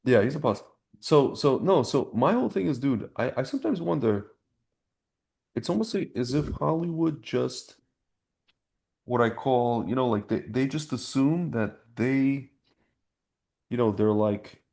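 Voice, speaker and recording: A man's voice: medium pitch, expressive, unhurried, gravelly, pauses often, darkish tone. A man chatting casually and giving opinions on a podcast, rambling in slangy language and often repeating or breaking off his phrases. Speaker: podcast host.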